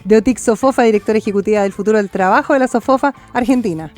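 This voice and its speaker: A woman's voice: mid-range voice, rapid-fire, a little mellow. A woman with a Chilean accent hosting a live radio panel in a conversational style. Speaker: radio host.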